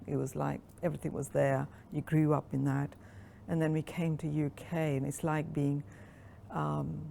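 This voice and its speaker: Low, gravelly-voiced woman in her sixties. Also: dark tone, a little hiss or hum. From Kenya. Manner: reflective, unhurried storytelling with frequent ums. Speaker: interviewee. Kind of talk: oral history interview.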